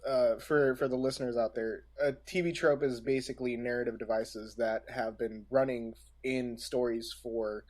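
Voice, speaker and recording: A man's voice: medium pitch, steady tone, normal speed, few pauses, darkish tone. A man co-hosting a podcast in casual chat, teasing and jumping in with interjections. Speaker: podcast co-host.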